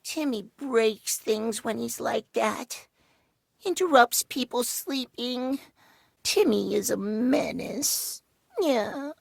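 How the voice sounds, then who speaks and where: An actor voicing a cute, excitable, dramatic little video game character who gushes about himself in the third person. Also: male voice, very high-pitched, takes it slowly, vocal fry, no echo, full character voice. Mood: dramatic, upset. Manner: refers to himself in third person, gushing, theatrical. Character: cutesy, excitable, dramatic household-object creature speaking of himself.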